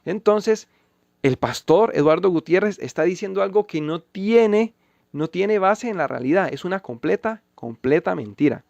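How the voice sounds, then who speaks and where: A man reading Bible verses and giving scripted commentary, measured and emphatic. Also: sits high, expressive, creaky, darkish tone, dry recording, highs cut off. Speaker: channel host refuting a pastor.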